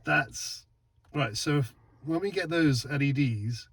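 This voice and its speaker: A man's voice: a little high, takes it slowly. A man in casual vlog chat outside at night, testing van lights, exclaiming and repeating himself as he talks. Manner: excitable, exclaims and laughs, repeats words.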